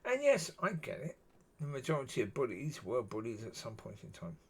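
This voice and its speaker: Man, husky and raspy, musing monologue, mid-range voice. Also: medium pitch, wildly swooping pitch, a little fast. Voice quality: husky, gravelly. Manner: musing, repeats yeah often. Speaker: solo video talker. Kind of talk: rambling solo monologue.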